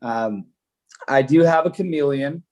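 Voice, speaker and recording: Loan officer, male, first language Polish, casual explainer with fillers, mid-pitched. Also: unhurried, a little mellow. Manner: explanatory, loose, lots of fillers and restarts. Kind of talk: casual live-stream Q&A, explaining mortgages.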